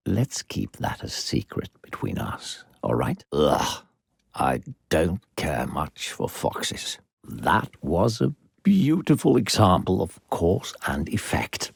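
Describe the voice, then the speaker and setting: Deep, gravelly, sinister game narrator. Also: male voice, a little mellow, no room sound, studio quality, full character voice. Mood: conspiratorial, then disdainful. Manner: theatrical, condescending, coaxing. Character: smooth-talking, secretly sinister narrator guiding a little girl. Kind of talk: theatrical video game narration.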